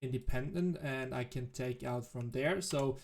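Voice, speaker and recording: A man whose native language is German, thinking aloud in halting, self-correcting commentary as he plays a game. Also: mid-range voice. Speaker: let's play host. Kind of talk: casual gameplay commentary.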